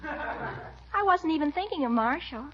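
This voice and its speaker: A dreamy, innocent young niece. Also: female voice, high-pitched, animated, a little slow. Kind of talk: 1940s radio acting. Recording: dull 1940s radio broadcast.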